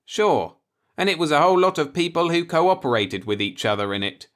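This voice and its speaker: A man acts out a righteous, law-abiding fellow from a sci-fi story. He is earnest and insistent, easily alarmed. His pitch moves around in a very animated way. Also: fairly quick. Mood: earnest, defensive. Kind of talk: audiobook character voice in a sci-fi story.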